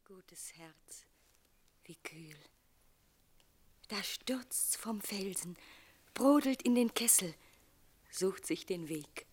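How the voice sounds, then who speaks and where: An actress playing a young, dreamy lost bride in a German radio drama, lyrical and often near a whisper. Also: female voice, mid-range voice, lively intonation, drawn-out words, long pauses, darkish tone, slight background noise. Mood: rapturous.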